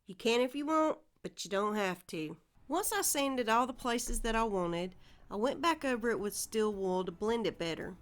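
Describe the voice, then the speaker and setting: A woman's voice: fairly high pitch, lively intonation, normal speed. A woman with a Southern American accent narrating a home DIY project in a friendly, step-by-step way. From Southern United States. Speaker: DIY channel host. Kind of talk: instructional voice-over narration.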